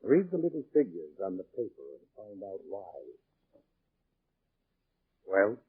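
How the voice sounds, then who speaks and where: Husky, pushy scheming prospector. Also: male voice, fairly low pitch, very animated, unhurried, exaggerated voice. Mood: defensive, persuasive. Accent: American. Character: slick, pushy, ambitious broke prospector with scheming confidence. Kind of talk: 1940s radio acting. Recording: tinny narrow-band 1940s radio recording.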